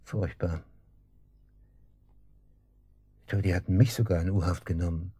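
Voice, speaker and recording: A man's voice: deep voice, steady tone, fast talker, dark tone, husky, no echo. An actor in a German radio drama playing a smug, taunting man just released from custody, speaking with mocking casualness.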